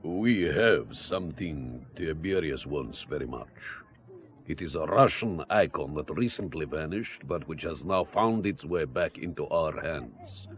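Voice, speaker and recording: An actor playing a courtly, philosophical Russian intelligence officer in an audio drama, with a deliberate, florid manner. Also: male voice, a bass voice, animated, slight background noise. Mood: grave, confiding. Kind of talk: spy audio drama acting.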